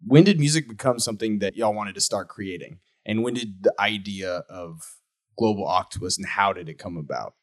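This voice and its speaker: Relaxed, lowish-voiced male interviewer. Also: fairly quick. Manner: relaxed, encouraging, short affirmations. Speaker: radio interviewer. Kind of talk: casual radio interview.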